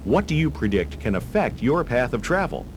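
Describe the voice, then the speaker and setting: Male instructional narrator with lively intonation. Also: mid-range voice. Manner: measured, instructional delivery. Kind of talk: scripted driver-education video narration. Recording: hum and hiss of old tape.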